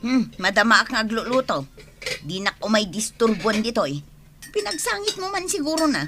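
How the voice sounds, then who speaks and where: A woman's voice: a little high, very animated, normal speed, noisy background. A woman playing an exasperated, nagging mother and wife in an Ilocano radio comedy drama, scolding dramatically. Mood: worried, weary. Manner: scolding, dramatic, loudly calling out.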